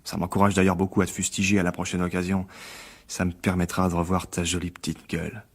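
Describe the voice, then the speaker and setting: An actor playing a bitter, sarcastic failed actor turned critic in a French radio drama, needling with false cheer. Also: male voice, low voice, level tone, quick pace, husky. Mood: scornful.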